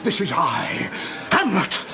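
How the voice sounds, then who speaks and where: Radio drama casting: grandiose classical stage star, slow declamation, extremely high pitch, loud music. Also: male voice, wildly swooping pitch, full character voice. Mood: anguished, grand. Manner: declamatory, projected. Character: grandiose male matinee idol declaiming Shakespeare on stage.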